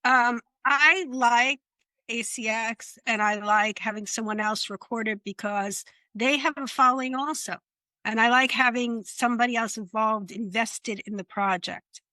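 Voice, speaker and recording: A woman's voice: a little high, unhurried, pushed and bright, dry recording, low-quality recording. A woman who works in publishing, giving direct advice in a panel discussion. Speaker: publishing professional.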